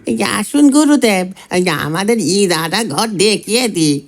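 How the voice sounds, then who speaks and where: A man's voice: sits high, animated, slow, exaggerated voice. A man playing a nervous, servile household servant in a horror audio drama, jittery and full of filler words. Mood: servile, uneasy.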